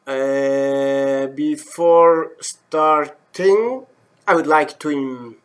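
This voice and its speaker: A man from the German-speaking part of Switzerland talks in a Swiss German accent, slowly and haltingly, working in English as a non-native speaker. He pauses on fillers and corrects himself as he goes. He sounds middle-aged and hosts a live wood turning demo from his workshop. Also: fairly high pitch. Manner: hesitant, many fillers and self-corrections. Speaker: professional wood turner. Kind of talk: live-stream demo talk.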